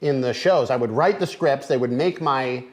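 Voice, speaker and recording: A man hosting a podcast in relaxed conversation, agreeable and quick with short murmurs of assent, who drops in bits of Mandarin. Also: mid-range voice. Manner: slips into bits of spoken Mandarin. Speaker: podcast host. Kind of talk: casual interview chat.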